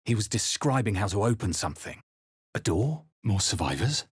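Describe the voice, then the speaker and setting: Deep, husky, grave fantasy narrator. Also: male voice, very animated, no echo, studio quality, full character voice. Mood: brooding. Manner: grave, deliberate, literary storytelling. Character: male adventurer narrating his own dark fantasy memories, grave and introspective. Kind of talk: scripted first-person game narration.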